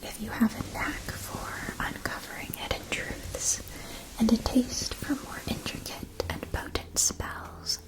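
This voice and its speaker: A woman voicing a calm, knowing witch-school wand seller in a whispered, measured and formal ASMR roleplay. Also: close to an everyday voice, rough recording. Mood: calm, solemn. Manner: measured, deliberate, formal salesmanship.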